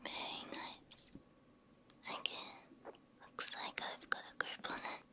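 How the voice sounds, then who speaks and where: A woman doing a whispered ASMR roleplay, soothing and gently reassuring, close on a binaural microphone in short hushed phrases. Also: takes it slowly. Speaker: VTuber ASMR host.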